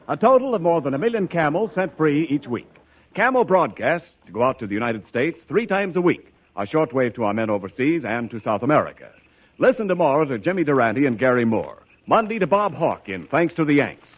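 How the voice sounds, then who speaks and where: High-voiced 1940s radio announcer, a man. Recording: dull 1940s radio recording, music behind.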